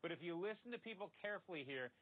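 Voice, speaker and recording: A man's voice: sits high, lively intonation, rapid-fire. A man giving a live report as a news correspondent. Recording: tinny phone-like audio.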